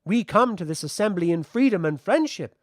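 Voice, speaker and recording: Guy reading as an ancient spirit kid, high voice, calm but grand and old-fashioned, storybook fantasy, really dry sound. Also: a little fast, dry recording. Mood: lofty, proud. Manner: infernally calm, formal archaic speech. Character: ancient elemental being in child form, calm and lofty. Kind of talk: audiobook fantasy character voice.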